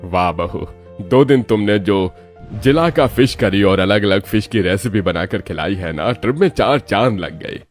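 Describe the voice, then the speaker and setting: A man's voice: lowish voice, expressive, a little slow, faint music behind. A man playing a hearty middle-aged father-in-law in a Hindi cartoon story. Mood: pleased.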